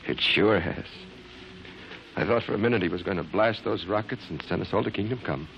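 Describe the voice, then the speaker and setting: An actor playing a calm, paternal military doctor in a 1950s radio drama. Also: male voice, low voice, fairly quick, creaky. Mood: shaken. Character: calm, paternal military medical officer, quietly curious. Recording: muffled old radio recording, faint noise.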